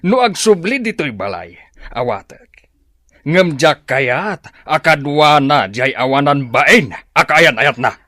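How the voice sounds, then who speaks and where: A bitter, unforgiving father in middle age acted by a man, speaking with an Ilocano accent. His fairly high voice rises and falls sharply. The drama recording is close and dry. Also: expressive, slow pace, sharp tone, dry recording, heavily acted. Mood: angry, cold.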